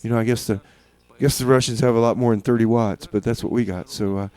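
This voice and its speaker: A man hosting a community radio music show, chatting casually in a halting, rambling way with deadpan comedy. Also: medium pitch. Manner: hesitant, rambling, halting with repeated words. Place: small community radio studio.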